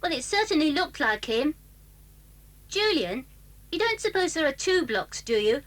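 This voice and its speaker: A woman playing an excitable, wondering young schoolboy in a children's audio drama, with a wide-eyed, exclamatory delivery. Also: high voice, normal speed. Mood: alarmed, excited.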